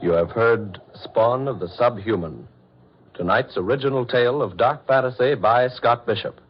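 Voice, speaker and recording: A man's voice: medium pitch, moderate pace, a little hiss or hum. Formal male announcer, muffled old radio. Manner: formal, dramatic.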